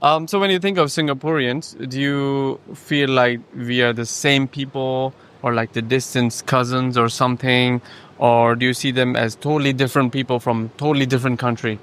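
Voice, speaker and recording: A man's voice: mid-range voice, steady tone, normal speed, fairly bright tone, a little hiss or hum. A man interviewing people on the street, asking casual probing follow-up questions. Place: city street outdoors.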